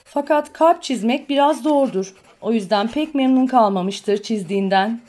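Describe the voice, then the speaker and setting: A woman's voice: on the high side, normal speed, darkish tone. A woman narrating a children's cartoon in a simple storytelling manner for small children.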